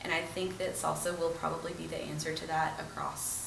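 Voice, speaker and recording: A woman's voice: low-pitched, level tone, brisk, creaky, far from the mic, noisy background. A woman, a dance instructor, chatting casually on a podcast with emphatic, enthusiastic affirmations. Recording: echoey room, voice a bit off-mic, faint ambience.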